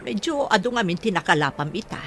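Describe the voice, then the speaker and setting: A woman's voice: mid-range voice, sing-song, fast talker. An actress playing a middle-aged mother who sells fish, speaking in a motherly way in a radio drama. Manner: warm, motherly talk. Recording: radio drama with music and crowd.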